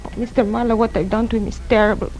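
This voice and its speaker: A woman's voice: fairly high pitch, fairly even intonation, very fast, darkish tone, slight background noise, natural voice, low-quality recording. An American actress playing an anxious, earnest young woman in a 1940s radio noir drama, speaking with urgency. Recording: old radio recording, music behind.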